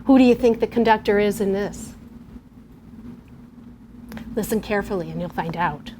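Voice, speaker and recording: A middle-aged woman teacher, giving a lesson and reading a story aloud to young children in a patient, clear way. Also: medium pitch, normal speed, warm tone, slight background noise. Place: television studio or classroom.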